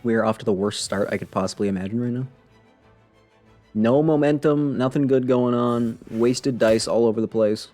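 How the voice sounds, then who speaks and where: A man's voice: medium pitch, normal speed, warm tone, soft background music. A man doing casual live game commentary, grumbling wryly and talking to himself and the game. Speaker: game streamer. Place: live stream, game music behind the voice.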